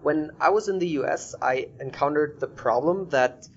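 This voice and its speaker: A man's voice: on the high side, moderate pace, gravelly. A man from Germany, casually interviewing a guest as a podcast host. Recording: dull lo-fi audio, faint noise.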